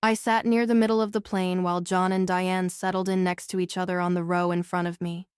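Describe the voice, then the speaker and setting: A woman's voice: fairly low pitch, little pitch movement, fairly quick, clean tone, no room sound, clean studio sound. A woman reading scripted first-person novel narration as an audiobook narrator.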